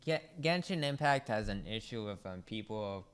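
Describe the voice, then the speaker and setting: Very low, smooth-voiced young woman. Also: lively intonation, normal speed. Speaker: podcast co-host. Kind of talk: casual gaming chat.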